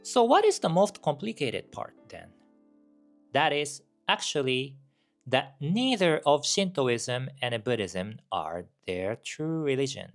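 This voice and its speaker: A man's voice: medium pitch, sing-song, takes it slowly, soft background music. A Japanese man with a Japanese accent, a campus minister giving a scripted explainer talk with rhetorical questions and asides.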